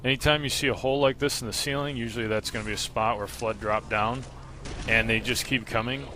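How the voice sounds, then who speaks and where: A man's voice: mid-range voice, steady tone, brisk, soft background music. A man giving matter-of-fact, explanatory gameplay commentary as the narrator of a video game walkthrough. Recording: loud game audio behind voice. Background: shooter game sound effects.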